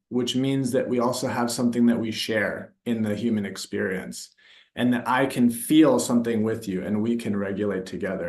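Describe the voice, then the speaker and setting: Man from the United States, therapist type; calm, thoughtful, pauses to find words; echoey room. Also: fairly low pitch. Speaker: somatic therapist, workshop facilitator. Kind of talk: reflective interview answers. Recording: roomy echo.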